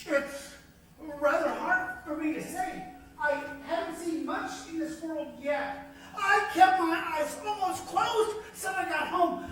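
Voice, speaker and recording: A woman's voice: very high voice, slow, fairly bright tone, full character voice. A woman voicing an earnest young man, blind from birth, who has just gained his sight, speaking in a breathless, tearful and ecstatic way, in an old radio drama. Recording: echoey, distant old radio recording.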